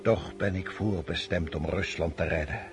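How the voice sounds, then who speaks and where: An actor in a Dutch radio drama playing a weary, brooding old Russian field marshal, speaking with deliberate authority. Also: male voice, deep voice, over music. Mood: solemn resolve. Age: older.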